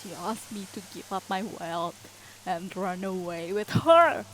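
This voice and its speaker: A woman voicing a proud, angry older father, a Baron, with forceful bitterness in a dramatic audiobook reading. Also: lowish voice, lively intonation, measured pace, noisy background. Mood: roaring anger.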